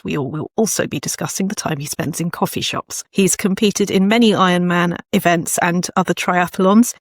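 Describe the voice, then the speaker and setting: A woman's voice: fairly low pitch, no room sound, clean studio sound. A woman hosting an interview podcast, speaking as a presenter to listeners and guests. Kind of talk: read introduction and conversational interview.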